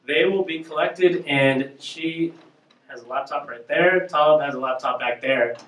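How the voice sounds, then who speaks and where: A man hosting a campus question-and-answer evening, halting and filling his questions with "uh" and "um". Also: medium pitch, a bit bright, roomy sound, recorded from a distance, poor audio. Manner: halting, many "uh" and "um". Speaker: event host and interviewer.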